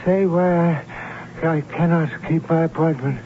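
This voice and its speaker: A man's voice: fairly high pitch, steady tone, unhurried, crowd noise, close to an everyday voice. An actor playing an exuberant, romantic young German nobleman and bridegroom-to-be, florid and theatrical in an old-time radio drama. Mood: dying. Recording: muffled, very noisy old radio broadcast.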